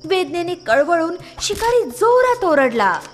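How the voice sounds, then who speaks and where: A woman narrating a scripted children's story as an expressive storyteller. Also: very high voice, unhurried. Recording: long reverb, music behind. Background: music.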